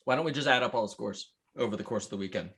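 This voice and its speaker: A man's voice: on the low side, racing, gravelly, a bit bright, breathy edge. A man co-hosting a podcast, bantering casually and arguing his point. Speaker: podcast co-host.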